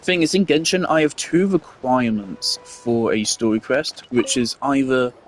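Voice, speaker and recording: A young man giving casual, opinionated game commentary, blunt and sweary, pausing as he thinks. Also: medium pitch, a little hiss or hum. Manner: blunt, sweary, hesitant phrasing. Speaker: game streamer.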